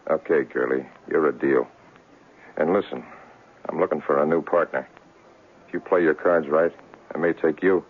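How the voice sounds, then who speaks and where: An actor playing a tough, cocky private investigator in a 1970s radio drama, talking in clipped slang. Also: male voice, very deep voice, fairly even intonation, normal speed, pauses often, husky. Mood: tough, curt. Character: tough hard-boiled male private investigator, cynical and cocky. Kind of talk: 1970s radio drama acting, noir narration. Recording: muffled old radio broadcast.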